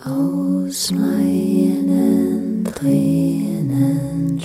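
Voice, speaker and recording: A woman singing a slow, lullaby-like art song. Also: deep voice, wildly swooping pitch, over loud music.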